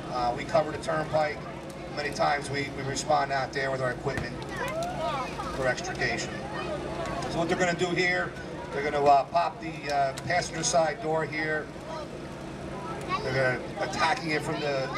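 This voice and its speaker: Loud fire captain over outdoor PA. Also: male voice, fairly high pitch. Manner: loud, strong voice with many fillers. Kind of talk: live demonstration commentary. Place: outdoor parking lot. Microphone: handheld microphone to a PA. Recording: distant PA voice, loud outdoor noise.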